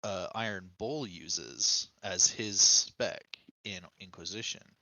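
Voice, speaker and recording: Low, very bright-edged man on a podcast. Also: slow, creaky, no room sound, low-quality recording. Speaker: podcast co-host explaining game lore. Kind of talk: casual podcast discussion of a video game.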